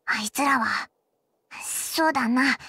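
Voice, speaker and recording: A woman's voice: sits high, takes it slowly, creaky, sharp tone, slightly rough, dry recording, exaggerated voice. A woman voicing a tiny, talkative fairy companion with cheeky, boyish speech in an anime video game. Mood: lecturing, unsure. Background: background music.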